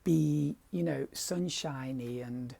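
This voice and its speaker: A man's voice: a little high, takes it slowly, creaky, mellow, slightly rough. A middle-aged English man with a dry voice, rambling hesitantly and self-deprecatingly in a confessional vlog about his painting. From England. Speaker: painter vlogger.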